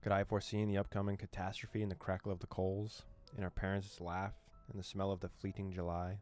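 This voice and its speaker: Low-voiced man reading game text aloud. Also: level tone, fast, a little mellow. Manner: reads dialogue aloud, breaks off with joking asides and laughs. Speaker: gaming streamer. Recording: faint game music behind.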